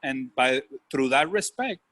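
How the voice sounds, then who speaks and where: Bright-voiced man with a Puerto Rican accent. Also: mid-range voice, lively intonation, unhurried. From Puerto Rico. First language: Spanish. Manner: earnest, seeks agreement with "right?" and "you know". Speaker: business executive leading a group discussion. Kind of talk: casual group discussion. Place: video call.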